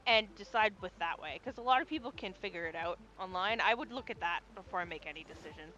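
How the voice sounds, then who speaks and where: A woman's voice: mid-range voice, fast, bright, forceful tone, highs cut off. A young adult woman streaming a game, chatting casually and rambling, swearing freely as she goes. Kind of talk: casual gaming chat.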